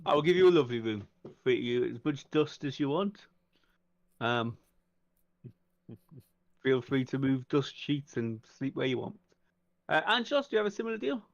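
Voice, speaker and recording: A man's voice: medium pitch, big pitch swings, moderate pace, pauses often, dry recording. Dry, dull-sounding man playing tabletop. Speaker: player in a tabletop roleplaying game. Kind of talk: casual in-character game-table chat.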